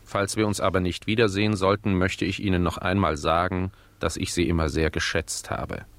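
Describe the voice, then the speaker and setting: A man's voice: deep voice, flat delivery, moderate pace, a bit bright, dry recording. A male actor playing an unflappable, formally polite millionaire in a German radio play. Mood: polite, composed. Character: courteous, unflappable male millionaire on a sinking ship.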